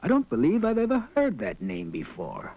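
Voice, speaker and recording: Haughty older cartoon lord, raspy and high for a guy, bit muffled w faint music. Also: wildly swooping pitch, normal speed, full character voice. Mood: regal, puzzled. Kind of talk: cartoon fairy tale voice acting. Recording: dull audio with faint music.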